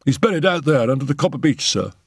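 A man's voice: fairly high pitch, expressive, quick pace, breathy edge, no room sound, clean studio sound, voice pushed up. A man voicing a chatty, deferential footman in an audiobook, matter-of-fact and sure of himself. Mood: deferential, certain. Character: deferential male servant, a footman, chatty and certain. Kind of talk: audiobook character acting.

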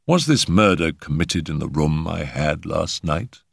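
A man voicing a calm, perceptive gentleman in a storybook reading, speaking quietly and deliberately. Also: very deep voice, creaky, slightly rough, no room sound, studio quality, full character voice.

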